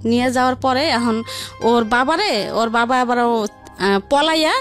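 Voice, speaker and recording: A woman's voice: fairly high pitch, unhurried, a bit bright, faint music behind. A woman telling her life story in a rambling, repetitive, conversational way. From Barisal region, Bangladesh. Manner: rambling, repetitive, colloquial narration.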